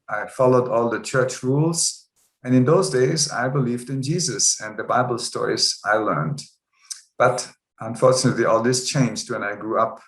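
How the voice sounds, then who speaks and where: A man's voice: medium pitch, fairly bright tone. Man in his sixties, roomy sound. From Germany. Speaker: guest. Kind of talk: interview storytelling. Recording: roomy echo.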